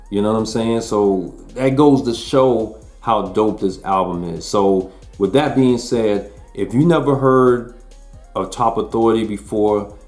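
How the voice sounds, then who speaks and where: A man's voice: lowish voice, a little mellow. A man in his forties casually reviewing an album, laid-back and chatty. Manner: laid-back, chatty, frequent "you know what I'm saying". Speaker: music reviewer. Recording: roomy echo.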